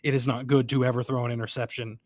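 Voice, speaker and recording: A man co-hosts a sports podcast and talks through his analysis, restarting sentences and checking for agreement. His voice sits at a middle pitch and sounds close and dry, with little room sound. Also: little pitch movement, fast, darkish tone, no echo. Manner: thinks aloud, repeats himself, seeks agreement with "right?". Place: stadium press box.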